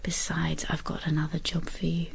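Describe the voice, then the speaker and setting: An actress playing a composed, shrewd Roman lady with a husky, creaky voice, gently teasing and intimate in a radio drama. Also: deep voice, completely flat delivery, moderate pace, a little hiss or hum.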